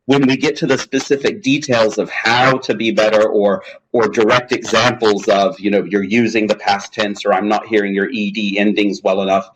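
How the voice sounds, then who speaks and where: A man's voice: on the low side, steady tone, normal speed, hardly any pauses, slight background noise, poor audio. A man speaking as a guest in a webinar, answering questions in a chatty, jokey way with many restarts. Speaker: guest speaker on language testing.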